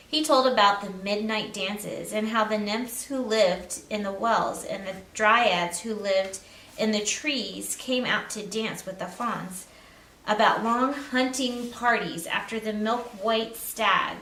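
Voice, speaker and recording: Female children's story narrator, higher register, roomy and a little distant. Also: a bit bright. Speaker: storybook reader. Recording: roomy echo, distant mic.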